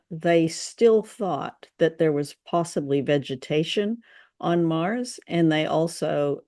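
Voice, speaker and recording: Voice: medium pitch, darkish tone, dry recording, studio quality. A co-host in casual chat, sharing trivia in a chatty, playful, slightly tentative way.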